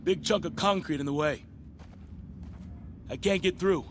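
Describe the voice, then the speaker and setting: An actor playing a determined, gutsy police officer in a video game, with an American accent and brisk, clipped delivery. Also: male voice, high-pitched, a little hiss or hum. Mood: businesslike. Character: determined, gutsy male police officer, Spider-Man's ally. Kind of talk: video game voice acting, natural dialogue.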